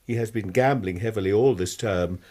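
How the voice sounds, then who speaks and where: A man's voice: fairly low pitch, brisk, a little mellow, close to an everyday voice. A man playing an anxious, courteous university tutor in a radio drama, speaking hesitantly and precisely with an English accent. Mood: reluctant, disapproving.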